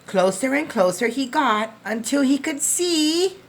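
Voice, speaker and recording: A woman reading a picture book aloud to young children as a preschool teacher, expressively, with deliberate, dramatic phrasing. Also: sits high, expressive.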